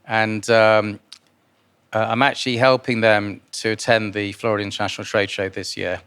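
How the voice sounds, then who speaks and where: A man born in Guyana answers interview questions informally, in a halting way with repeated words. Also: lowish voice, flat delivery, fairly bright tone, clear, resonant tone. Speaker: interviewee, philanthropist and investor.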